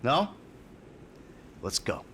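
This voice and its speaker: An actor playing a brisk, commanding team leader in a game cutscene. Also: male voice, pushed and bright, big-room sound.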